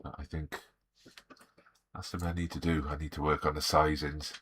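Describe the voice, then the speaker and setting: A middle-aged man with a Welsh accent talks in a deep, low register, his voice a little croaky from a sore throat. He chats in an easygoing way and greets viewers one by one as he works. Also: little pitch movement, normal speed, a bit bright, slight background noise. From Wales. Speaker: artist streaming live. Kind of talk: relaxed live-stream chat while drawing.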